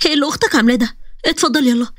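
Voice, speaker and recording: Woman doing an upset young egyptian bride, indignant, fairly high, dry close sound. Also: racing, a bit bright, no echo.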